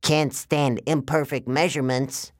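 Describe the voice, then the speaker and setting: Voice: medium pitch, little pitch movement, unhurried, no echo, clean studio sound, voice pushed up, lightly acted. A performer voicing a genial but menacing male giant who talks in folksy, drawling sales patter, in an audiobook. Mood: cheerfully menacing. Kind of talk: audiobook character voice.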